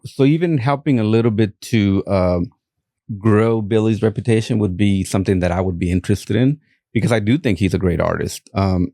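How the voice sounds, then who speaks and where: A man with a Mexican accent, an art collector talking casually as an interview guest, halting with many fillers. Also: on the low side, mellow, no echo, studio quality.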